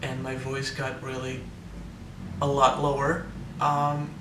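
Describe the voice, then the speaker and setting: A man's voice: medium pitch, completely flat delivery, slow pace, roomy sound, recorded from a distance, background noise. A young man giving a casual, hesitant personal update, full of ums and restarts, his voice sometimes cracking with air breaking through. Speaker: vlogger.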